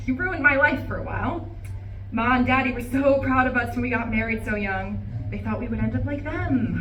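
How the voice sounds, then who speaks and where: A woman's voice: high-pitched, a bit bright. A woman in a live play reading, playing a bitter, refined older divorced wife in a resentful, dramatic monologue. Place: room at a live campus reading. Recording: echoey room, distant mic, faint noise.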